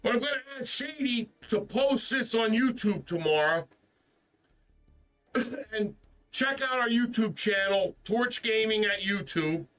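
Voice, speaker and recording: A man hosting a gaming stream, still stuffed up after the flu, rants in a frustrated, profane way and keeps repeating himself. His voice sits high and bright. He sounds a little distant from the microphone. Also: lively intonation, a little slow. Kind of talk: casual ranting stream talk.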